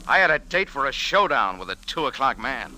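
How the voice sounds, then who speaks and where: Sharp, hardboiled American adventurer. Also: male voice, medium pitch, sing-song, a little fast, a little hiss or hum, low-quality recording. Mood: tense narration. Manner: hardboiled, clipped, sardonic. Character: tough, wisecracking American café owner turned adventurer. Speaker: lead actor and narrator. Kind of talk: old-time radio detective acting and narration.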